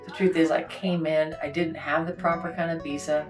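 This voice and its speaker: A woman's voice: deep voice, level tone, normal speed. A woman from Canada in a casual conversation, telling a personal story in a candid way with conversational asides. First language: English. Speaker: host. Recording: faint guitar music behind.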